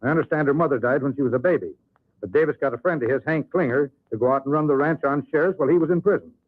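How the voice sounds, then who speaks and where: An actor playing an earnest, businesslike official in an old-time radio western. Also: male voice, a little high, racing, narrow, tinny audio, lightly acted. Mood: explanatory. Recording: thin old radio recording.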